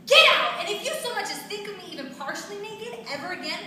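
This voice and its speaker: Woman acting an indignant high-voiced girlfriend, echoey. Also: animated, fast talker. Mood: furious. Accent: American. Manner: exasperated, emphatic. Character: indignant, hurt young schoolteacher girlfriend, proud and stubborn. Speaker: stage actor. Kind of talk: staged play reading. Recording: distant mic in echoey room, clear noise.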